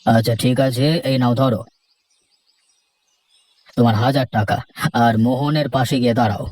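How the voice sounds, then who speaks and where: A man's voice: mid-range voice, steady tone, moderate pace, a little mellow, no echo, natural voice. A man voicing a stern, businesslike village headman in a cartoon, with a commanding, questioning way of talking. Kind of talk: cartoon voice acting.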